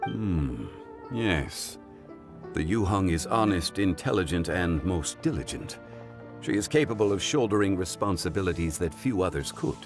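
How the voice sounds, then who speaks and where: A low, dignified gentleman scholar in an animated game. He is calm, formal and eloquent, with expressive intonation over soft music. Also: normal speed. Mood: composed, thoughtful. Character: dignified, calm, scholarly male consultant, courteous and wise.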